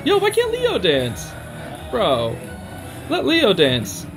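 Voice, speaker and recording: Casting: young male reaction host, high and very lively delivery, excitable slang. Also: takes it slowly. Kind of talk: casual live reaction commentary. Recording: music playing behind the voice. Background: stage show music.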